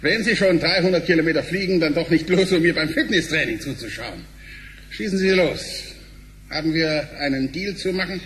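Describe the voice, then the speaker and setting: An actor playing a brash, bossy company director in a radio drama, sardonic and barking. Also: male voice, fairly high pitch, normal speed, fairly bright tone, slight background noise, close to an everyday voice. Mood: mocking. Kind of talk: German sci-fi radio drama acting.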